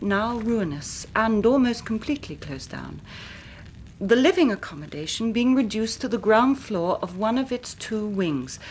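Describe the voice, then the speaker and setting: An English actress playing an anxious, careworn young Victorian gentlewoman in a radio drama, speaking formally and precisely. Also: mid-range voice, a little hiss or hum, lightly acted. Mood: grave, intense. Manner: formal, precise, dramatic narrating with hushed moments. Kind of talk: British radio drama acting.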